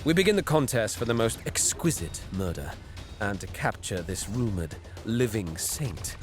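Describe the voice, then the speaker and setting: Guy doing a cold snobby alien warrior prince narrating, low voice, cruel n bored, music under it. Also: big pitch swings, normal speed. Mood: disdainful. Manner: cold, disdainful. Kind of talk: first-person dramatic narration.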